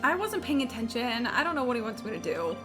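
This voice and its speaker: A woman's voice: a little high, big pitch swings, fast, music in the background. A woman streamer chatting casually while gaming, rambling and candid, slangy and playfully sarcastic.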